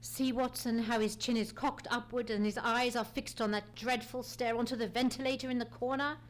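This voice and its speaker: A woman's voice: fairly high pitch, steady tone, normal speed, close to an everyday voice. A woman playing a keen, commanding detective in an old-time radio play, with a dramatic, declamatory delivery. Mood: grim, commanding. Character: Sherlock Holmes, keen, commanding male detective. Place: theatre stage, live radio play. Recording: noisy live stage recording.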